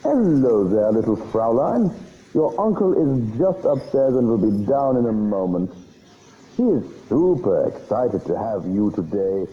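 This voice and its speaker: A man's voice: lowish voice, very animated, unhurried, muffled recording. A man voicing a courteous, playful greeter who talks to a child in a visual novel game. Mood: welcoming. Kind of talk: visual novel character voice acting.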